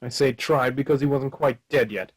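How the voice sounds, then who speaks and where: An actor playing a wry, hard-boiled 1940s Chicago private detective in a radio play, with a deadpan manner. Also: male voice, mid-range voice, normal speed, husky, natural voice.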